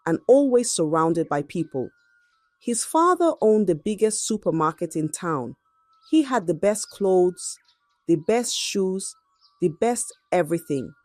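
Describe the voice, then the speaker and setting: A woman storyteller giving a dramatic scripted narration of a folktale in short, measured phrases. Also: fairly low pitch, warm tone, dry recording.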